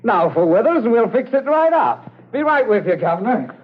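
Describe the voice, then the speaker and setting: An actor playing a rough henchman who carries out orders with relish, in 1940s radio drama style. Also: extremely high pitch, very animated, moderate pace, heavily acted. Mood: gruffly amused. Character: rough, obedient henchman who enjoys the violence. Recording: dull, slightly hissy old radio broadcast.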